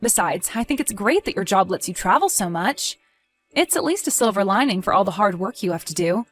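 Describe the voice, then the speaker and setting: A woman acts out an evasive, cheerful wife in a story drama. She speaks at a middle pitch with polite, neatly phrased reassurance, and music plays faintly behind her. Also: expressive, fairly quick, close to an everyday voice. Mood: reassuring. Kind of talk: scripted dialogue in an audio drama story.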